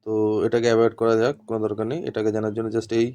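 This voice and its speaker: A man's voice: on the low side, monotone, rapid-fire, mellow, clear, resonant tone. A man giving an informal hands-on tutorial as an instructor, explaining step by step and repeating himself. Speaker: instructor demonstrating walkie-talkies.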